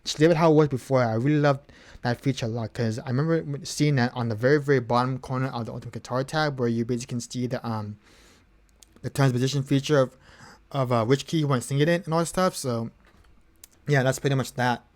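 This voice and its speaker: A man talks to his stream viewers in a relaxed, unscripted way. His voice sits in an ordinary middle range, and he rambles, repeating words and filling the pauses with ums and uhs. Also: medium pitch. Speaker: streamer taking song requests. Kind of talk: casual live-stream chat.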